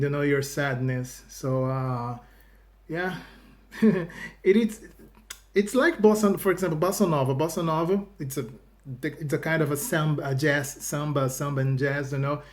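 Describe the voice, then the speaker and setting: A man with a Brazilian accent chats casually to his channel in English, a second language after his native Portuguese. His voice sits a bit high for a man. He talks in stops and starts, often repeating words before he finishes a thought. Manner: halting, restarts phrases, fills with "you know". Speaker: YouTube reaction host. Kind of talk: casual video chat to viewers.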